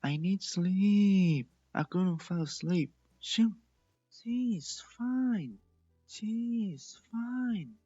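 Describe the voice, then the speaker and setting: An impulsive young female cartoon pony, acted out by a man, emotive and lovestruck. The voice sits well up high and sounds dry and slightly dull, as in a homemade storybook reading. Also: expressive, very slow, dark tone, no room sound, soft background music, exaggerated voice. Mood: sleepy, annoyed. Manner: high-pitched, emotive, dramatic. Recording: dull lo-fi close recording.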